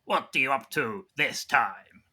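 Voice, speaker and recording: A man's voice: mid-range voice, expressive, measured pace, pushed and bright, husky, heavily acted. A man playing a bold, commanding hero confronting a villain in a tabletop audio game. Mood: defiant.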